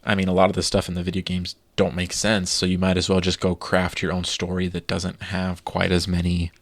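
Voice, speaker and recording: A man's voice: deep voice, monotone, fairly quick, no echo. A man co-hosting a podcast, chatting casually and enthusiastically with many fillers. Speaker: podcast co-host. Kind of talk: casual chat.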